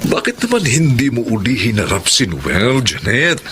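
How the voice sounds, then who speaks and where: An actor playing a friendly, effusive head of a charity in a Filipino radio drama, with a Filipino accent and an encouraging manner. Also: male voice, mid-range voice, sing-song, normal speed, a bit bright, faint music behind.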